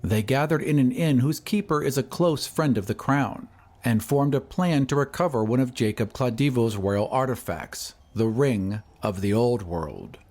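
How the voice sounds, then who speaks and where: A man's voice: medium pitch, moderate pace, darkish tone. A man narrating a fantasy recap in a scripted, dramatic storytelling style.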